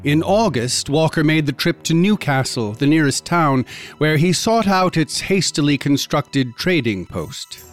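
A man's voice: on the high side, lively intonation, a little slow, faint music behind. A man narrating a frontier horror tale as a scripted radio drama. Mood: measured.

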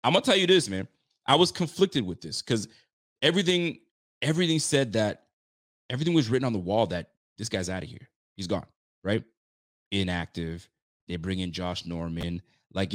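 A man in his late thirties hosting a casual sports talk show, animated and chatty, often repeating himself. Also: medium pitch, dry recording, clean studio sound. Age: 30s. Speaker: sports podcast host.

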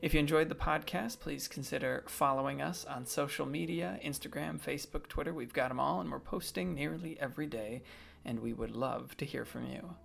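A man's voice: a little high, brisk, vocal fry, a bit bright, slight background noise. A man hosting a podcast, talking casually as he interviews a guest and addresses listeners. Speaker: podcast host.

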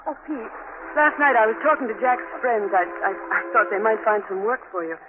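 An actress playing a refined, gentle, conflicted young kept woman, polite and hesitant, in 1940s radio drama style. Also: on the high side, lively intonation, fairly quick, phone-quality sound, music in the background, natural voice. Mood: tender. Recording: thin, noisy 1940s radio recording.

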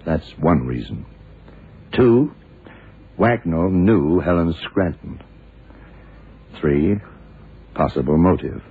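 An actor playing a shrewd, dogged FBI investigator in a radio crime drama, terse and deliberate. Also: male voice, a bass voice, slightly rough, full character voice. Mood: brisk, sure. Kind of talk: 1960s radio drama acting. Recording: muffled, noisy old radio broadcast recording.

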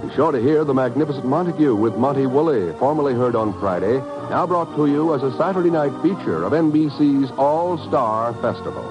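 Male 1950s radio announcer: polished, emphatic sponsor reads, animated mid-range delivery, music behind. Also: mid-range voice, a little fast, hardly any pauses, highs cut off.

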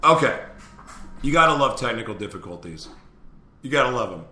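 Upbeat bright-voiced male host. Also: mid-range voice, very animated, a little fast, roomy sound. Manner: upbeat, laughing easily. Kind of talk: casual live show chat.

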